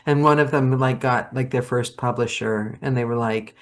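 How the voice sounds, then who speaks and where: A podcast host and fellow audiobook narrator asking questions and telling stories in a relaxed, conversational way. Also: medium pitch, moderate pace. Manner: conversational, storytelling with asides. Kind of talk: casual interview chat.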